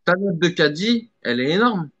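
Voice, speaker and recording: A man's voice: high voice, expressive, moderate pace, a bit bright. A man, a guest in a casual live chat, talking emphatically and repeating his phrases over and over. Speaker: guest caller. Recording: dull lo-fi audio.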